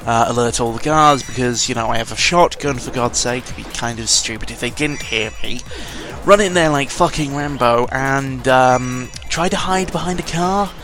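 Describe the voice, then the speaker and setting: A man's voice: medium pitch, fairly bright tone. A British man giving casual, rambling game commentary, digressive and sweary, often correcting himself as he goes. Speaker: gaming vlogger. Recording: music under the voice. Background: music.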